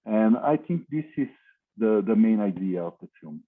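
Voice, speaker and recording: A man with a Brazilian Portuguese accent, a film director answering questions at a festival Q&A. He speaks haltingly, with many fillers, searching for his words in English. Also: lowish voice, lively intonation. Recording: tinny video-call line.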